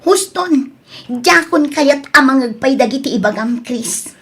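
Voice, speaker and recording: High-pitched, plaintive young Ilocano daughter played by a woman. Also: expressive, unhurried. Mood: defiant, upset. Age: young adult. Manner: plaintive, emotional. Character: defiant, lovesick young daughter pleading for her boyfriend. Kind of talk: Ilocano audio drama acting.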